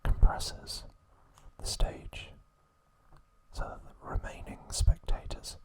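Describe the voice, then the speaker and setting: Slow whispering man, ASMR narration. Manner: soft, slow, unvoiced whispering close to the mic; calm storytelling with occasional fillers and self-corrections. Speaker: ASMR channel host.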